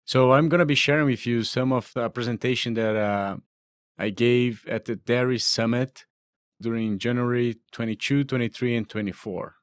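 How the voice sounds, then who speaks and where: A man in his thirties from southern Brazil with a Brazilian accent, giving a steady, explanatory veterinary lecture. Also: medium pitch, normal speed, no echo. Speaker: veterinary professor. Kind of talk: recorded lecture narration.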